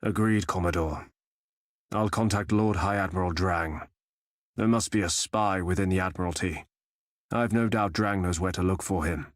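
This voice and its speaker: An actor playing a disciplined space fleet admiral in a video game, with a formal, clipped, authoritative delivery. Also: male voice, deep voice, moderate pace, dry recording, studio quality, lightly acted. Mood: grave concern. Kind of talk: video game cutscene acting. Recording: music behind the voice.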